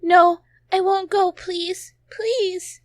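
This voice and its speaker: A woman voicing a frightened, desperate trapped girl who pleads slowly in a visual novel. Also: very high voice, fairly even intonation, dry recording, voice pushed up, exaggerated voice. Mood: desperate pleading. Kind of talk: visual novel character dubbing.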